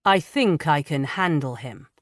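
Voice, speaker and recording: A woman voicing a strong young nobleman who speaks in a dry, measured way. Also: fairly low pitch, big pitch swings, no echo. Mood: composed.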